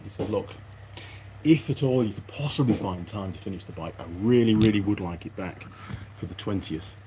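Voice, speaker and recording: A man's voice: fairly low pitch, fairly quick. A man chatting casually as a vlog host and mechanic, muttering and meandering as he goes. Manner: muttering to himself, hesitant, meandering. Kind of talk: casual rambling workshop vlog. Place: workshop. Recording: noisy, clicks and rustling of parts.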